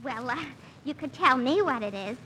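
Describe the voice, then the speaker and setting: A woman's voice: high voice, expressive, moderate pace, pushed and bright. An actress playing a nosy, nagging single woman in a 1940s radio comedy, with a whiny, indignant manner. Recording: faintly noisy vintage radio recording.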